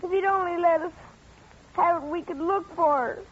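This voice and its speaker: A woman voicing a frightened, grieving young boy in an old-time radio drama, pleading and accusing. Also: normal speed. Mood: uneasy. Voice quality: high childlike voice. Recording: dull lo-fi radio recording.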